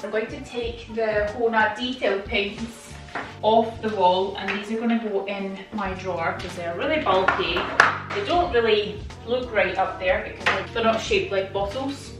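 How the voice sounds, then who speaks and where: A woman's voice: medium pitch, a little slow, music in the background, low-quality recording. A woman from Estonia talking casually and playfully to herself and her viewers, like a home vlogger. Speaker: vlogger, nail technician. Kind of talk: casual chatty vlog voice-over while tidying.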